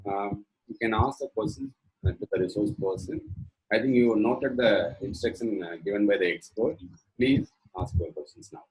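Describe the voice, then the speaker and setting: A man moderating an online webinar, formal and polite, speaking haltingly. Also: on the low side, poor audio. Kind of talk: hosting a webinar Q&A. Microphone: video call.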